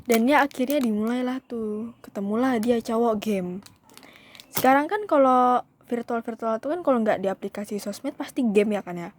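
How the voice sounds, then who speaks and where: A young woman chats through a casual storytime for her viewers. Her voice sits fairly high, and faint noise hums underneath. She keeps calling out to her audience and drops English phrases along the way. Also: moderate pace. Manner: chatty, says "guys" often, slips into English phrases. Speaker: vlogger.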